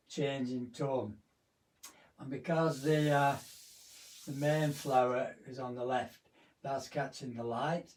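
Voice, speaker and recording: Hoarse man teaching painting. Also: mid-range voice, distant mic. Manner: chatty, rambling, with fillers. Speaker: art teacher. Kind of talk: live painting demonstration.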